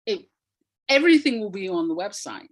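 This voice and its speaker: A woman's voice: mid-range voice, sing-song, a little fast. A woman speaking as a playwright guest in a thoughtful interview, reflective and repeating words for emphasis.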